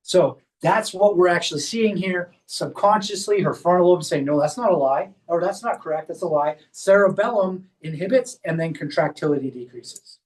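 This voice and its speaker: A high-pitched man talks a small group through a hands-on demonstration at a clinic workshop, explaining each step as he goes. His voice is picked up from some distance, with the room around it. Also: moderate pace, few pauses. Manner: explains step by step while guiding a volunteer. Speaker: chiropractor leading a workshop.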